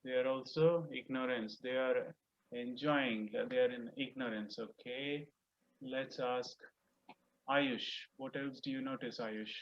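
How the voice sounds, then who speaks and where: A man's voice: medium pitch, fairly even intonation, a little slow, lo-fi sound. A man moderating an online class over a video call, calling on students and encouragingly repeating their answers back. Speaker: class host.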